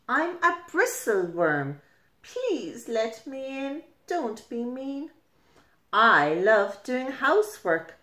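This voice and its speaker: A woman's voice: fairly high pitch, a bit bright, full character voice. A woman voicing an eager, house-proud bristle worm from a storybook, pleading and emphatic, in a roomy space. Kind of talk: storybook character voice. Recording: roomy indoor echo.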